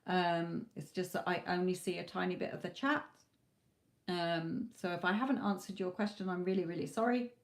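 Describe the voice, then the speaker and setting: A woman giving live tarot readings to viewers, talking reassuringly, her voice going a little hoarse from hours of talking. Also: fairly low pitch, fairly even intonation, moderate pace. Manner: reassuring, calls viewers "my lovely". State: losing her voice, a bit hoarse. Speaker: tarot reader.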